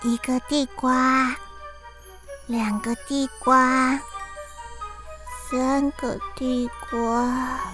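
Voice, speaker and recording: A woman playing a naive, sweet, hungry little piglet girl in a children's radio drama, with a slow, whiny, pleading childlike manner. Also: high voice, flat delivery, fairly bright tone, faint music behind, full character voice. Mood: sleepy, hungry.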